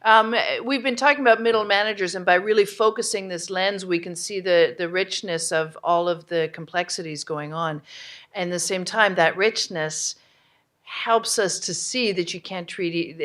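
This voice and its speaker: A woman's voice: fairly low pitch, steady tone, moderate pace, a bit bright. A woman moderating a live audience discussion at a conference, energetically prompting the room for takeaways. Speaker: summit facilitator.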